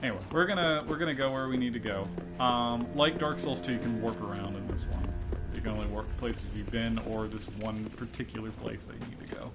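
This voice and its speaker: Man talking over loud game music. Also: medium pitch, brisk. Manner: profane, informal, explanatory. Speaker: gaming show host playing and explaining the game. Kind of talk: casual let's play commentary. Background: loud video game music.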